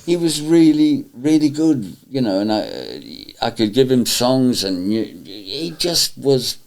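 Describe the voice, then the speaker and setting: A man's voice: mid-range voice, big pitch swings, slow pace, creaky, darkish tone. An older man reminiscing and teasing a colleague in mock-scolding fashion. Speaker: panto writer and dame.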